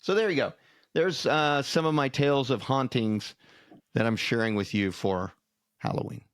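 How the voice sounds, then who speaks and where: A man chatting as a podcast co-host in a folksy, storytelling way. His voice sits at a middling pitch. The sound is close and dry, with hardly any room on it. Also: normal speed, dry recording. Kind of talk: casual podcast chat.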